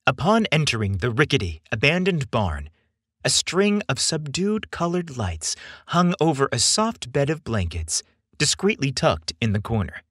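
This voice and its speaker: A man narrating a scripted romance story as a podcast host, in an expressive storytelling style. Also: medium pitch, moderate pace, husky, no echo, clean studio sound.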